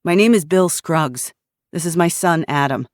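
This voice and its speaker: A woman's voice: on the low side, normal speed, dry recording, close to an everyday voice. Bill is a grave, grieving mechanic and widowed father, worn down by loss and flight. A woman narrator acts him out in a voice close to her own, only slightly lifted. The sound is dry.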